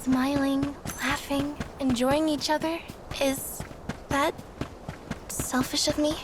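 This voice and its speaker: An actress playing a gentle, devoted young village woman in a fantasy game, soft and dreamy with lingering pauses. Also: high-pitched, flat delivery, background noise. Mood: wistful, tender. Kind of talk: fantasy video game voice acting.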